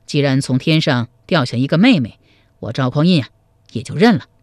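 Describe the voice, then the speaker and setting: A woman voicing a modest, steady young male hero in a storytelling radio serial, speaking firmly and in a measured way. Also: no room sound, studio quality. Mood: resolute. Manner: firm, measured and low.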